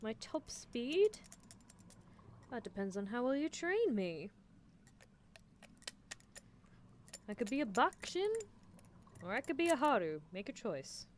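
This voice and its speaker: A woman's voice: fairly high pitch, big pitch swings, moderate pace, slight background noise. A woman voicing a playfully menacing, teasing female mad scientist in a roleplay ASMR stream, musing theatrically. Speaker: livestreamer. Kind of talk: roleplay ASMR livestream, answering chat in character.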